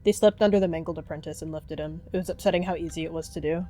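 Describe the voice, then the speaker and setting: A woman's voice: low voice, fairly even intonation, rapid-fire, warm tone, a little hiss or hum. A woman reading scripted story narration for an animal roleplay.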